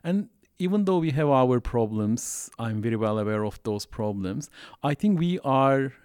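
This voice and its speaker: A man's voice: medium pitch, animated, normal speed, a little mellow, clear, resonant tone, dry recording, studio quality. Man telling his story. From Turkey. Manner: earnest, repeats "so", laughs lightly. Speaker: guest. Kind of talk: personal storytelling in interview. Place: college classroom.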